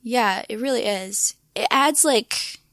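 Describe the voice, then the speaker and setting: A woman co-hosting a podcast in casual chat, with a hoarse voice and lots of filler words. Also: on the high side, slow, fairly bright tone, no echo, studio quality. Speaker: podcast co-host.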